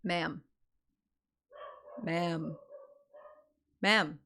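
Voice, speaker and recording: A woman's voice: fairly low pitch, steady tone, very slow, pushed and bright. A woman livestreamer with a playful, chatty manner, talking casually and reading a game story aloud. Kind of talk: casual stream chat and expressive game read-aloud.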